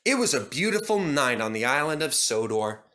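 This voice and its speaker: A man's voice: mid-range voice, big pitch swings, moderate pace, pushed and bright. A man narrating a scripted children's story as a storybook reader.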